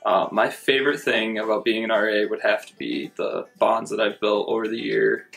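Young man, from Syracuse area, low gravelly voice, relaxed interview answers, soft guitar backing. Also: normal speed. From Syracuse area, New York. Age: young adult. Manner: relaxed, a few "uh" fillers. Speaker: resident assistant, student. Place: indoors before a plain grey backdrop.

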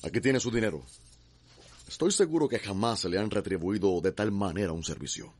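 An actor playing a ruthless, imperious wealthy villain in a radio soap opera, cold and contemptuous. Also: male voice, fairly low pitch, lively intonation, fairly quick, a little mellow. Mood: cold menace.

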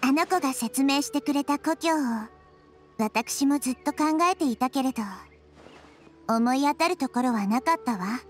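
A woman voicing a gentle, wise little girl deity in an anime game, speaking softly and with composure. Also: high voice, faint music behind, close to an everyday voice. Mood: thoughtful, serious. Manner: soft, composed, feminine phrasing with measured delivery. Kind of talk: anime game dialogue acting.

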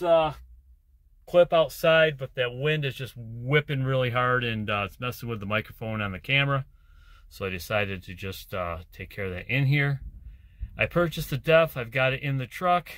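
A man in his forties, a truck driver vlogging casually to camera from his cab, talking in a relaxed, rambling way with plenty of "uh" fillers. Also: medium pitch, no echo. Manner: easygoing, rambling, with many "uh" fillers. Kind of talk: casual vlog chat to camera. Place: inside a truck cab and outdoors in winter. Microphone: handheld or dash camera at arm's length.

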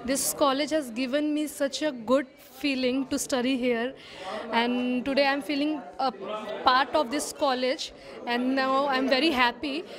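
A young woman talks in a high voice, in simple thankful sentences. She holds a mic to her mouth. Crowd chatter fills the background. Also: steady tone, measured pace. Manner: simple, warmly grateful phrasing. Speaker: alumna giving a testimonial. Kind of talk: short spoken testimonial. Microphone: handheld microphone.

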